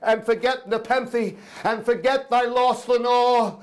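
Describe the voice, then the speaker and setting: A man's voice: very high voice, steady tone, measured pace, pitched above the performer's own voice. A man performing an anguished, grief-maddened man in impassioned, declamatory Gothic verse. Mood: tormented outcry. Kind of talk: theatrical Gothic poetry performance. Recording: noisy live room recording.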